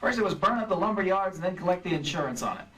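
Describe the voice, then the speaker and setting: Guy acting old time radio private eye, wry n hard-boiled, kinda high voice, far from mic. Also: animated, rapid-fire, fairly bright tone. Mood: probing, wry. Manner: hard-boiled, matter-of-fact. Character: cool, wry male insurance investigator, hard-boiled narrator. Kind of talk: 1940s-50s radio detective acting. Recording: live stage re-creation, distant mic, music.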